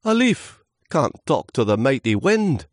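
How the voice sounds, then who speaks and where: A man's voice: high voice, sing-song, takes it slowly, darkish tone, dry recording, studio quality, pitched above the performer's own voice, full character voice. A man voicing a small, naive and lonely autumn leaf in a children's story, with a hesitant, earnest way of talking. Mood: timid, unsure.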